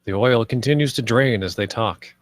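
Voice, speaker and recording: A man's voice: medium pitch, normal speed, dry recording, studio quality. A man reading stage directions as scripted narration at a screenplay table read.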